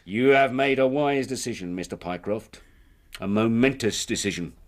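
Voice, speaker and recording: A man acting in a period radio drama, playing a hearty, persuasive company recruiter and smooth swindler, with a British accent and grandiloquent manner. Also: mid-range voice, moderate pace, natural voice. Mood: jovial, hearty. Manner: effusive, theatrical, grandiloquent.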